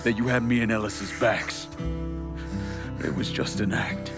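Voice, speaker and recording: A low-pitched American young man, a war veteran turned criminal boss. He is grim and vengeful, speaking in a measured, solemn way. Dramatic game score sits behind the voice. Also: over loud music. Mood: bitter, accusing. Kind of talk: video game cutscene acting.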